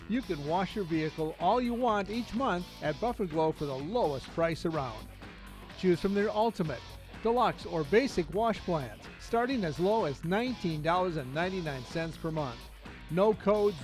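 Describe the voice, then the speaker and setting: A man with an American accent reading scripted radio commercials. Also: sits high. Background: music.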